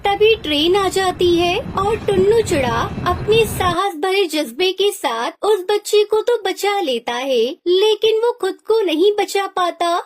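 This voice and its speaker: बहुत ऊँची आवाज़ वाली महिला कथावाचक. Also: female voice, extremely high pitch, lively intonation, a little slow, few pauses. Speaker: storyteller. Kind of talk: scripted story narration for kids.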